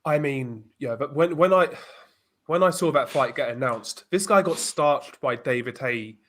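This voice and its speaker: A man's voice: mid-range voice, animated, measured pace, a bit bright. Stuffy-nosed man with dry humor. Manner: dry, self-deprecating humor. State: congested with a cold. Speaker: guest boxing YouTuber. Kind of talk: casual live-stream chat.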